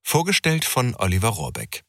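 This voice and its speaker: A man's voice: fairly low pitch, wildly swooping pitch, normal speed, gravelly, fairly bright tone, no room sound, clean studio sound. A man reading a scripted show introduction as announcer.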